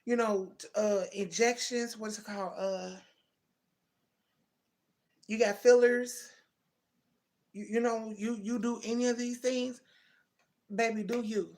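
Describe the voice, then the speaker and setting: Brief: woman in her thirties, livestream host, gravelly voice, chatty and familiar with her viewers. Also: fairly high pitch, steady tone, slow pace, pauses often, a little mellow. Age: 30s. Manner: chatty, addresses viewers as baby and twin, answers comments. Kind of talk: casual live chat with viewers.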